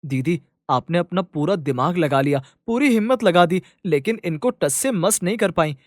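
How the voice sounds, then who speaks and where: A woman's voice: on the low side, lively intonation, normal speed, dry recording, clean studio sound, lightly acted. A woman voicing a playful little boy, a teasing and coaxing younger brother, in a children's cartoon story.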